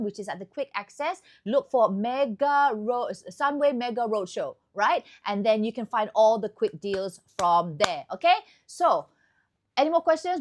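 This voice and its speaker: A woman with a Malaysian accent hosting a chatty, upbeat promotional tour of a hotel room indoors. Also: on the high side. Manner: chatty, upbeat sales patter. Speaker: host.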